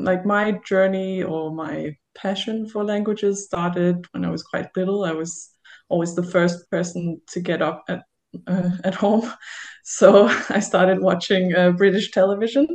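A woman's voice: on the low side, steady tone, measured pace. A woman from Denmark, a native Danish speaker, chatting as a guest in a friendly way. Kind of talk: casual chat.

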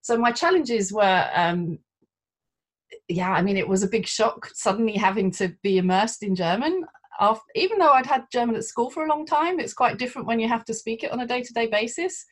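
A woman's voice: fairly high pitch, expressive, brisk. A middle-aged woman from London, a translator being interviewed, talking in a chatty way with frequent hesitations. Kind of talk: conversational interview.

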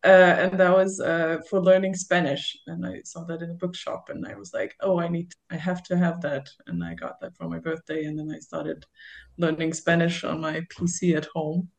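A woman's voice: lowish voice, flat delivery, normal speed, gravelly. A woman from Denmark, a native Danish speaker, chatting as a guest in a friendly way. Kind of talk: casual chat.

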